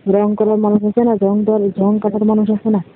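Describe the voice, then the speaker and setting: A woman's voice: mid-range voice, monotone, normal speed. A woman with a Bangladeshi accent in a casual phone conversation, bantering with cheeky retorts. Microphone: phone call. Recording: tinny phone line with noise.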